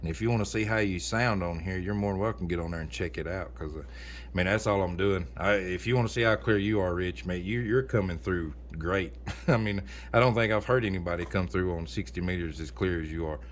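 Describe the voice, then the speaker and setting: A low, deep male voice rambles along in a folksy, casual way, like a radio hobbyist talking with friends. There is a faint layer of noise behind him. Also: a little fast. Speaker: amateur radio operator recording for a video channel. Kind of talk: casual chat over ham radio.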